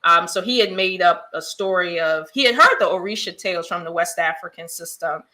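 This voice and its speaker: A middle-aged woman talks as a podcast guest, recounting how she became an author in a lively, laughing way. Her voice has a bright, forward ring to it. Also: on the low side, a little fast. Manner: animated, laughing, storytelling. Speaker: guest, children's book author. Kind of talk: casual podcast chat.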